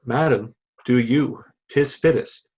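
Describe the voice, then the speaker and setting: A man's voice: medium pitch, slow, darkish tone. A man reading lines and directions in a readers' theatre production of Shakespeare.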